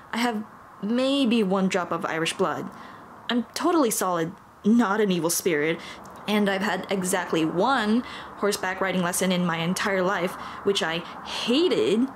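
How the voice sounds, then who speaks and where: A woman's voice: medium pitch, lightly acted. Woman voicing a nervous, stammering monster girl, noisy ambience. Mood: awkward, wry. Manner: hesitant, stammering, self-deprecating. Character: nervous, apologetic young female dullahan with a detachable head. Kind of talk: ASMR roleplay, intimate monologue to the listener.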